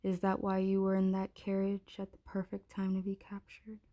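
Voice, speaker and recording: A woman playing a haughty, menacing dragon queen in an intimate ASMR roleplay, speaking in an imperious, taunting, deliberate way. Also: mid-range voice, completely flat delivery, mellow, natural voice. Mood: contemptuous, suspicious.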